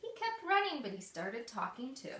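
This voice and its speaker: A woman reading a picture book aloud to young children, expressive and explaining things simply, like a mother at storytime. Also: high-pitched, normal speed. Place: echoey room with small children present. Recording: echoey room, distant mic, faint noise.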